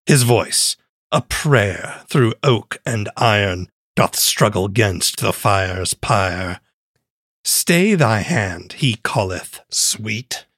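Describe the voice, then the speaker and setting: Solemn bard narrator: deep-leaning voice, extremely measured, grave archaic verse. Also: male voice, expressive, a bit bright, dry recording, clean studio sound, full character voice. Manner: grave, theatrical, archaic rhyming delivery. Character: solemn male bard narrating a dark vengeance tale.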